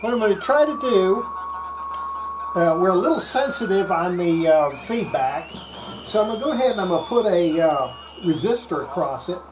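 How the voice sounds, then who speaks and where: An electronics restorer giving an unscripted hands-on repair demonstration, thinking aloud in a halting way. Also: medium pitch, expressive. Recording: clear background noise.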